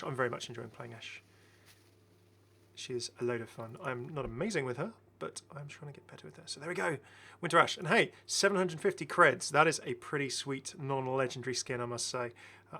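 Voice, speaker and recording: A man's voice: mid-range voice, a little fast, vocal fry, sharp tone. A man giving casual, enthusiastic game commentary as a chatty, rambling video host.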